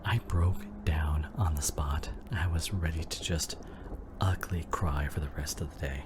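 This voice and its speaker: Male actor as devoted, worried husband, ASMR drama. Very deep, unhurried, breathy half-whisper, noisy audio. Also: steady tone. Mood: confessional, sorrowful. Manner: slow, intimate, breathy and often half-whispered. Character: devoted, worried husband comforting his injured wife.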